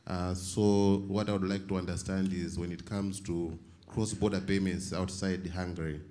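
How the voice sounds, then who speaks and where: Man, very low voice, conference participant posing formal questions in halting, hedged phrases. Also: level tone, dark tone. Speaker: panel participant asking questions.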